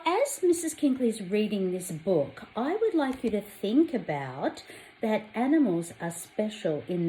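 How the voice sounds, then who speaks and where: Casting: Australian woman, children's read-aloud storyteller, lowish voice with lively swings, unhurried delivery. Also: a little hiss or hum, poor audio. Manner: addresses young children directly, expressive storytelling. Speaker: teacher reading a picture book aloud to children.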